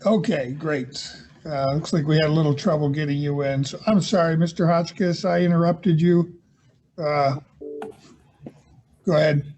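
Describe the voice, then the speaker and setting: Man, judge, video call hearing, high pitch, hesitant with fillers. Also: steady tone, darkish tone, slight background noise. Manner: measured, hesitant with many fillers.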